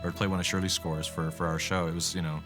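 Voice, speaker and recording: A man with a deep voice speaks casually as a producer in a documentary. His recollections run over a clearly heard music bed. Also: level tone, fast. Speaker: animated series producer.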